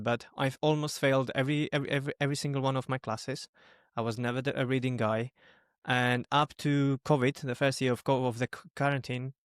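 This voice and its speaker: A man's voice: medium pitch, smooth, dry recording, clean studio sound. A young man from Greece, born in Albania, speaking English as a non-native language, chatting casually as a podcast host. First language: not English, which is a third language. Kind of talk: casual personal conversation.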